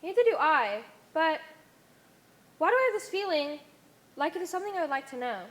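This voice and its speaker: A woman's voice: sits high, sing-song, a little fast, a bit bright. A young woman playing an earnest, questioning follower in an amateur stage play, with a hesitant, searching manner. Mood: wistful. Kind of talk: amateur one-act stage play acting. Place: theater stage.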